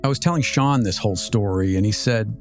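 A man in his fifties with an American accent, telling a scripted personal story as a podcast host in a measured, reflective, articulate way. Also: lowish voice. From Southern California, United States. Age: 50s. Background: music.

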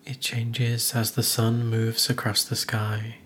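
Slow, even-toned man guiding meditation. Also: mid-range voice, slight background noise. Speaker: meditation guide. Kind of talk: guided meditation narration.

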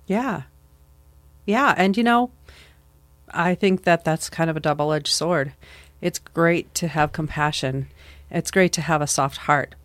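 A woman's voice: low voice, unhurried, pauses often, no echo. A middle-aged woman talking with her daughter in an earnest, reflective way, with many fillers. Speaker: mother. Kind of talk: casual conversation.

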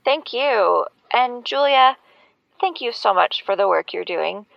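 A woman's voice: on the high side, animated, measured pace, creaky, no echo. A woman playing a grateful caller to a talk show in a fiction podcast, heard over a call line. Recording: dry, dull lo-fi call line.

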